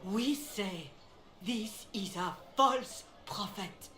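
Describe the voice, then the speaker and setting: A woman's voice: mid-range voice, animated, bright, forceful tone, heavily acted. An actress playing a stern female cleric who denounces a false prophet to a crowd in a game. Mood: accusing. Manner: slow, declaiming to a crowd. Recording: echoey game audio.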